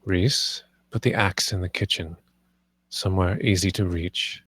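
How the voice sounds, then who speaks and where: A grave lord of the house played by a man in a dramatic table read. His voice is very low and slow, commanding and deliberate, with a dry sound. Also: steady tone, a bit bright, husky, dry recording, exaggerated voice. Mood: stern authority. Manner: deliberate, authoritative.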